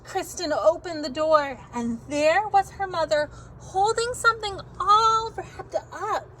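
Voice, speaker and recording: A woman reading a picture book aloud to children in a very animated way, drawing out words and sound effects and pausing to ask her listeners questions. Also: extremely high pitch, a little hiss or hum, poor audio.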